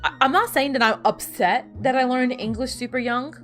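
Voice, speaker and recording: A woman's voice: high-pitched, normal speed, a bit bright, soft background music. A woman whose first language is Spanish, chatting casually with viewers while she plays a video game, rambling and often restarting her sentences. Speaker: streamer.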